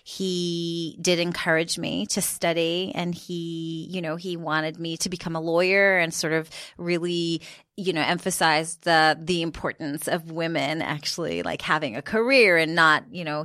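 A woman's voice: lowish voice, a bit bright, no room sound, studio quality. A woman in her forties, raised in Israel, speaking with an American accent as a podcast guest, open and candid, laughing easily. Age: 40s. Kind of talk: casual interview chat.

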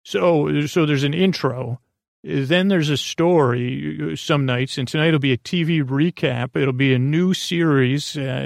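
Creaky-voiced man rambling soothingly. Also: medium pitch, dry recording, studio quality. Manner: meandering, hesitant, self-interrupting; soothing, gentle, soft-spoken. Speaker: podcast host. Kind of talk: rambling bedtime podcast monologue.

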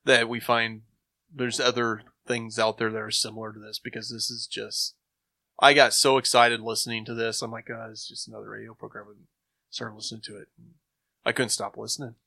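A man in casual chat, rambling enthusiastically with thinking pauses. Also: fairly low pitch, level tone, gravelly, pushed and bright, no echo. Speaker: podcast host.

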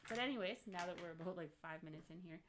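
A woman's voice: on the low side, very fast, fairly bright tone, slight background noise. A woman hosting a casual podcast from her home office, chatting loosely, giggling and drifting into side stories. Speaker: podcast host. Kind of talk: casual chat.

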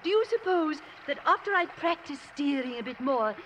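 A proper, strong-willed spinster in an old radio play. Her voice is high and lively, with formal, genteel phrasing that rises and falls widely. Faint noise runs under the 1950s recording. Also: normal speed, poor audio. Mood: exhilarated. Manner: formal, genteel, exclamatory diction. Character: prim, devout, strong-willed middle-aged spinster, bold and proper. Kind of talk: 1950s radio drama acting.

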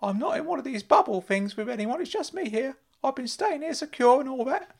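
A man voicing an excitable, fussy retired uncle, eager and worried in turn. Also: brisk, gravelly, heavily acted. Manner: high-pitched, eager and fussy. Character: excitable older uncle, retired, wearing a mask.

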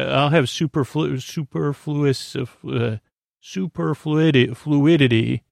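A man hosting a sleep podcast, talking in a meandering, halting way with many fillers and restarts and a creaky voice. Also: medium pitch, dry recording, studio quality. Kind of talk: rambling bedtime podcast intro.

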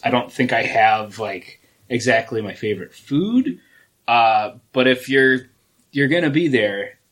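Male podcast co-host, mid pitch, relaxed storyteller, casual delivery. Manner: easygoing, animated anecdotes.

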